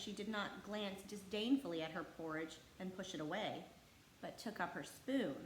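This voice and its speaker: Female storybook narrator, mid pitch, expressive read-aloud, roomy echo. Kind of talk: scripted storybook narration for children. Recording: echoey room with faint background noise.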